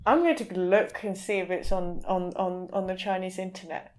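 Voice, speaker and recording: A woman's voice: on the low side, flat delivery, moderate pace, darkish tone. A woman speaking as a guest in a casual podcast interview, in an informal way. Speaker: podcast guest, literary translator.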